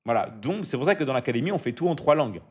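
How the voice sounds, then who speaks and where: A man's voice: medium pitch, expressive, very fast, darkish tone, slightly rough. A man from France, hosting a business coaching live stream. He speaks casually and repeats himself a lot, full of fillers and hype, and keeps checking in with his viewers.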